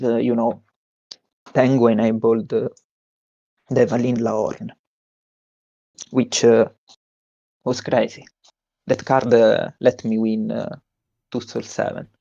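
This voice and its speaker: A young man with an Italian accent, a tournament winner giving a halting interview with many fillers and restarts. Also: mid-range voice, level tone, creaky, mellow, no echo, highs cut off. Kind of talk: post-win stream interview.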